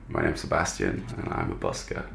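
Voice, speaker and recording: A man's voice: very deep voice, very animated, a little fast, creaky, fairly bright tone, echoey room, faint music behind. A man in his twenties from Germany, a working musician talking reflectively in a documentary interview. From Germany, moved to England at fifteen. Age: 20s. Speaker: busker, professional musician.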